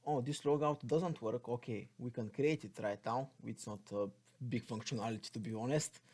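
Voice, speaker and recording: A man's voice: medium pitch, moderate pace, darkish tone, husky. A man with a Bulgarian accent gives an unscripted, step-by-step coding walkthrough, thinking aloud as he types and spells out code. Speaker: programming tutorial instructor.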